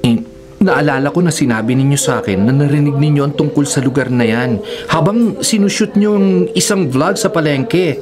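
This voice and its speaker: A man playing a cautious, conspiratorial confidant in a radio drama, measured and questioning. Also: fairly high pitch, over music, natural voice. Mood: guarded, probing.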